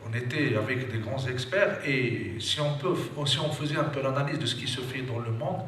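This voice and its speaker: A man's voice: medium pitch, moderate pace, fairly bright tone. Man, formal speech, hedging fillers, echoey room, distant mic, background noise.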